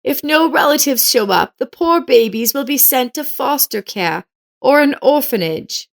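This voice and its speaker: Woman acting a high-voiced, caring elderly neighbor lady. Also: lively intonation, measured pace, creaky, heavily acted. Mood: concerned.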